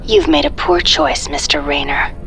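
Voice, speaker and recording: An actress playing a cool, threatening elite psychic assassin in a video game, controlled and commanding. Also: female voice, high-pitched, huge pitch swings, normal speed, vocal fry, a bit bright, soft background music, exaggerated voice. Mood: stern, warning. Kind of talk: dramatic video game acting.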